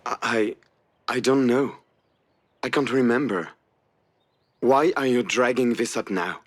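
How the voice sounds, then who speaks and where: A man's voice: mid-range voice, a little slow, close to an everyday voice. An actor playing a guarded, hurting man in a video game drama, protective and self-effacing, with a hesitant, defensive way of talking. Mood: defensive, irritated. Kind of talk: naturalistic dramatic visual novel voice acting.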